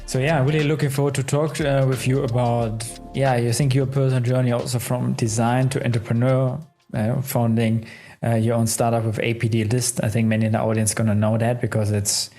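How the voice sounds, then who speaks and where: A man with as his hosts a podcast interview in a friendly, hesitant way, with a mellow, relaxed tone. His pitch sits mid-range and faint music plays behind him. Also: a little fast. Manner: friendly, hesitant, slightly nonnative phrasing. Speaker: podcast host. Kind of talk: interview hosting.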